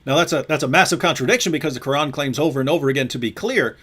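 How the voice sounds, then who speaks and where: Fairly high-voiced animated male host. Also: very fast, breathy edge. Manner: animated, mimics opponents, addresses viewers directly. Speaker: show host. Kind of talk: discussion on a live show.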